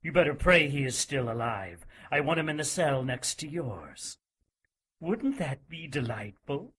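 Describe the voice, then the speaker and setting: A man's voice: mid-range voice, sing-song, unhurried, a bit bright, husky, full character voice. An actor playing a cruel, zealous religious inquisitor in an audio drama, menacing and mock-polite as he coldly gloats. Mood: gloating.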